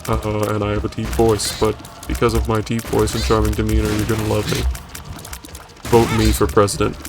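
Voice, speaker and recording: Man putting on a deep, pompous macho politician. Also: monotone, over music, lightly acted. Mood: pompous, boastful. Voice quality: put-on deep voice. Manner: pompous, halting, boastful.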